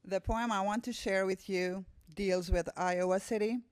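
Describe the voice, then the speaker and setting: A woman's voice: mid-range voice, steady tone, normal speed, clear, resonant tone, dry recording. Albanian-accented woman, a poet speaking publicly. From Kosovo. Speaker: visiting poet, guest speaker. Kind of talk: public talk and poetry reading. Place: room with a live audience.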